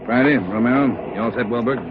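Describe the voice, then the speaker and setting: An actor playing a brisk chief of detectives with an American accent, giving clipped, authoritative orders in an old radio drama. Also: male voice, medium pitch, natural voice. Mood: urgent, commanding. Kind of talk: 1950s radio police procedural acting. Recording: muffled, noisy old radio recording.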